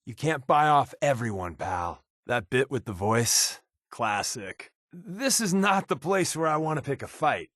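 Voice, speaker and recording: An actor voicing a sly, wisecracking spy sidekick in a video game, with an American accent and a casual, sardonic way of talking. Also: male voice, medium pitch, sing-song, a little slow, a bit bright, no room sound, clean studio sound. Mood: wary, quippy. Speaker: video game companion character. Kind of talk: video game voice lines, conversational banter.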